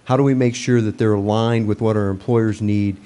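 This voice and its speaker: Middle-aged man, deep warm voice, steady council presenter. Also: fairly quick. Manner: steady, with many "you know"s. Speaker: economic development presenter. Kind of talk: report to city council. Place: council chamber, standing before seated audience.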